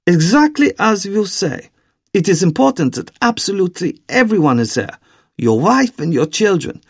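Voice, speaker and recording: Audiobook villain, played by a male narrator: soft German accent, persuasive and deliberate, lively swoops, very dry sound. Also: high voice, gravelly, breathy edge, dry recording, pitched above the performer's own voice, exaggerated voice. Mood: impatient, insistent. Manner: coaxing, theatrical, deliberate. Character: tall, sly villainous man, calm, persuasive, sinisterly courteous.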